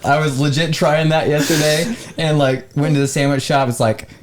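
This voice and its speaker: A man's voice: a little high, fairly even intonation, normal speed, noisy background. A man with an American accent, a podcast co-host chatting casually in a joking, informal way. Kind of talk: casual comedic chat.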